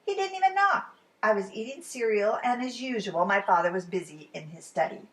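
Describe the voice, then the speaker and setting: A woman reading a children's story aloud as expressive storytime narration, animated and with dramatic pauses. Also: mid-range voice. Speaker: storybook reader.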